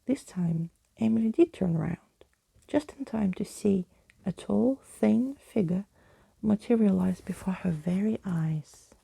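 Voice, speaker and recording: A woman reading a bedtime story in a soft ASMR whisper, close to the microphone. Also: medium pitch, a little slow, gravelly, slightly rough, no echo, rough recording. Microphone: close microphone, dry.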